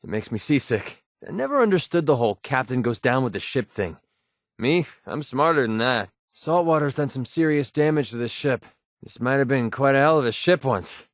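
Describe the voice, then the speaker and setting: A man's voice: mid-range voice, animated, normal speed, no room sound, studio quality, natural voice. A man playing a cynical, wisecracking young mercenary sniper in a video game, speaking in an offhand, grumbling, sardonic way. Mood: grumbling, uneasy. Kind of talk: video game companion dialogue.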